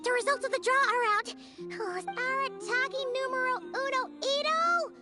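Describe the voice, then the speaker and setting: Squeaky, excitable little fairy girl. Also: moderate pace, over music. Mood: excited, fretful. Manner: chirpy, dramatic, third-person self-reference. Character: small excitable childlike fairy companion girl. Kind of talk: video game voice acting.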